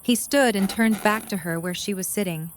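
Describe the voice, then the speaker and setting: Narrator: woman, mid-range pitch, scripted storytelling for an audio drama. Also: moderate pace, a little hiss or hum.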